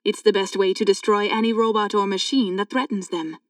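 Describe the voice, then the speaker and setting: An actress playing a knowing woman in a sci-fi audiobook drama, speaking in a clear, measured, explanatory way. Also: mid-range voice, fairly even intonation, no echo, clean studio sound, recorded from a distance, natural voice. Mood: calm explaining. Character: two sci-fi women, a knowing guide and a curious thief. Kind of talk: full-cast audiobook dialogue.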